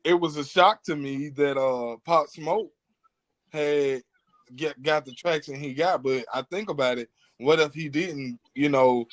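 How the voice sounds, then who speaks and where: A man's voice: a little high, unhurried. A man hosting a podcast, giving his opinions in an earnest, informal conversational way. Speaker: podcast host. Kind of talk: casual opinionated podcast talk.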